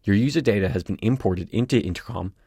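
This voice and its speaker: Low-voiced man narrating a tutorial. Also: fast, dark tone, no room sound, clean studio sound. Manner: clear step-by-step instructional delivery. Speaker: tutorial presenter.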